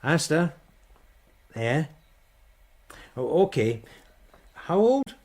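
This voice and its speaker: Animated, weary police sergeant. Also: male voice, fairly high pitch, drawn-out words, exaggerated voice. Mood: brisk, friendly. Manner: wry, animated, hesitant asides. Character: weary, genial male police detective sergeant. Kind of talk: radio drama acting.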